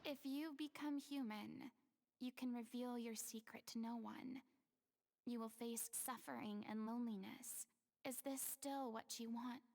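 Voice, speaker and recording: A woman voicing a confident, wistful young stage veteran in a video game, with dramatic, measured delivery. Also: on the high side, fairly even intonation, vocal fry, a little mellow, a little hiss or hum. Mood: solemn, wistful.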